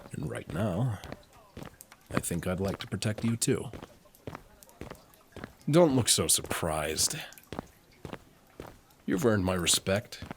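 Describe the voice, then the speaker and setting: A man playing a suave, teasing, flirtatious military officer and alchemist in an intimate roleplay audio, speaking smoothly. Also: deep voice, animated, noisy background. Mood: tender, sincere. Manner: smooth, unhurried, playfully teasing.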